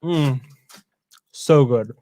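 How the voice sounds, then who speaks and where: A man's voice: mid-range voice, extremely slow, dark tone. A young man from South Africa co-hosting a casual live-stream chat over a meal, playful and talkative. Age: young adult. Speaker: co-host.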